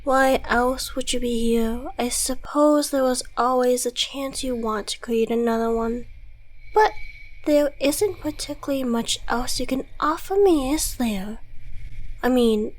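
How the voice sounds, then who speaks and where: Suave devil for audio roleplay, played by a woman: high-pitched, slow, courteous, smug, quietly dangerous. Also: low-quality recording. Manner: theatrical, silky, condescending politeness. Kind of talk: ASMR audio roleplay monologue.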